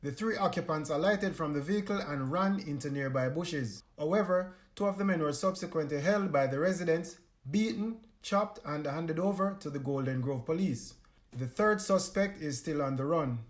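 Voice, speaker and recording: Male Jamaican reporter, somewhat high voice, scripted news narration. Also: moderate pace.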